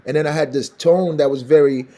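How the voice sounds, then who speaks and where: A man from Benin, West Africa, a singer with a raspy voice, telling stories in an animated way in a casual interview. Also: on the high side, brisk. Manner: animated storytelling, laughs often. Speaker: singer and songwriter guest.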